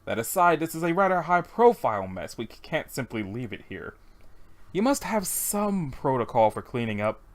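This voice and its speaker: A reader voicing a fastidious, polite professional killer in a dry, formal, understated manner. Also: mid-range voice, sing-song, close to an everyday voice. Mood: disapproving.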